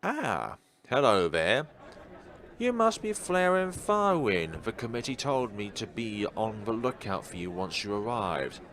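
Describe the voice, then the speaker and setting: A man's voice: lowish voice, big pitch swings, a little slow, a bit bright. A man voicing a cheerful, eager young woman working as a tour guide in a visual novel read-aloud. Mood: cheerful, welcoming. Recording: music playing behind the voice.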